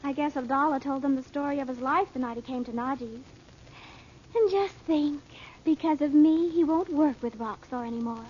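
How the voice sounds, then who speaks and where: A woman's voice: sits high, moderate pace, a little mellow, lightly acted. An actress playing a romantic, easily flustered American teenage girl in an old radio drama, gushing and wistful. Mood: chatty, dreamy. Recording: dull, noisy old radio recording.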